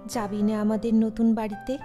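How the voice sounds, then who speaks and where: A woman's voice: a little high, monotone, moderate pace, over music, lightly acted. A woman voicing a kind-hearted, motherly Bengali housewife who chides with affection in an audio story. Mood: gentle. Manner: colloquial, affectionate scolding.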